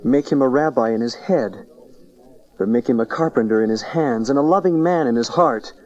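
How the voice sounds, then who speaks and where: A man's voice: medium pitch, normal speed, a little mellow, close to an everyday voice. A man playing a wise, playful and solemn rabbi in a dramatized Bible audio play, on a lo-fi cassette recording. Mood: earnest. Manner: rhetorical, playful then solemn. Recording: dull lo-fi cassette transfer.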